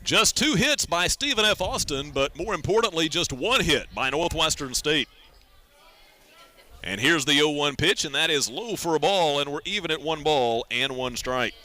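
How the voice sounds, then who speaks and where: A man's voice: a little high, lively intonation, normal speed, sharp tone. A man doing live radio baseball play-by-play, describing the action and chatting conversationally.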